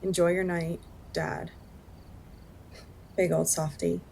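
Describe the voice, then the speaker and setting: A woman's voice: fairly low pitch, flat delivery, slow pace, gravelly, a little mellow, slight background noise, heavily acted. An actress playing a private woman at home, casual and understated in audio drama dialogue. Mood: fond.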